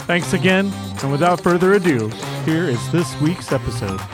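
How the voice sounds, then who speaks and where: A man reading a scripted podcast introduction in a steady, upbeat way. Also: fairly high pitch, normal speed. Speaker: podcast host. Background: music bed.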